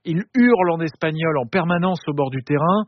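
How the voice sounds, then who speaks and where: A man's voice: fairly high pitch, animated, fairly quick, lo-fi sound. A man reading a scripted radio sports feature with an emphatic, listing cadence. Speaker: sports reporter.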